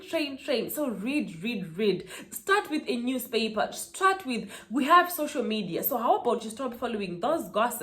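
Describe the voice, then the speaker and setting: A woman, a Shona speaker, giving motivational advice in an emphatic style and repeating key words for stress. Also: mid-range voice. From Zimbabwe. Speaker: author and speaker. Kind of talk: motivational talking-head vlog.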